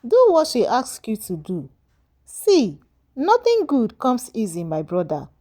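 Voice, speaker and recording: Woman reading as a persuasive male friend, animated. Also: fairly high pitch, unhurried, heavily acted. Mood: insistent. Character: pragmatic, persuasive male friend, cynical about women. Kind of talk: storybook dialogue.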